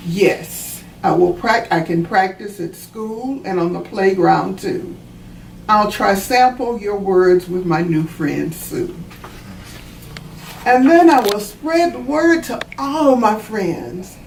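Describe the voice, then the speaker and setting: A woman's voice: mid-range voice, expressive, takes it slowly, creaky, darkish tone. Woman reading as an earnest young child, roomy sound. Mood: earnest resolve. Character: earnest young child learning to speak kindly. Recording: roomy, distant mic, faint noise.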